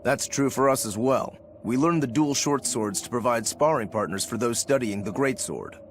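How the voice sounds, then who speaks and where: A man voicing a determined swordsman demon in a video game, explaining things in a measured way. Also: mid-range voice, a little hiss or hum, lightly acted. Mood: earnest, resolute. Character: determined swordsman demon, stoic younger brother to a master. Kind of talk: English video game voice acting. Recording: game music under the voice.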